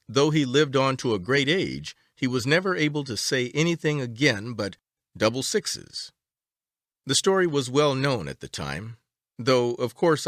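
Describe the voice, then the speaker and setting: A man reading a story aloud as an audiobook narrator, with a measured, expressive storytelling delivery. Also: medium pitch, dry recording, studio quality.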